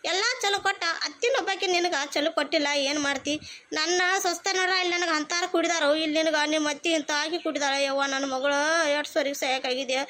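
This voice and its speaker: A woman's voice: sits high, fairly even intonation, fast talker, pushed and bright. A woman voicing a scolding, doting village mother in a comedy cartoon, chatty and repetitive, with a North Karnataka Kannada accent. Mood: sympathetic. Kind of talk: rustic comedy cartoon dialogue.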